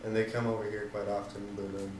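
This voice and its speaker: A young man from the Netherlands answering interview questions casually, pausing often with ums and likes. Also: fairly low pitch, completely flat delivery, reverberant, far from the mic, background noise. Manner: hesitant, many ums and likes.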